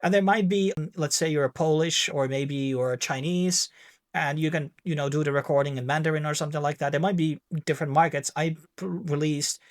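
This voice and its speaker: A man's voice: a little high, a little fast. A man with a Finnish accent, speaking English as a second language in a rambling, casual tutorial with many fillers and restarts. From Finland. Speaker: tech video host.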